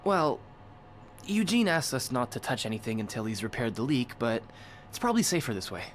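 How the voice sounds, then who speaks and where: A man's voice: mid-range voice, very animated, fairly quick, a little hiss or hum, natural voice. A man voicing a friendly, joking young hotel worker, easily flustered, in a narrative video game. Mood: breezy, weary. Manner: informal, joking.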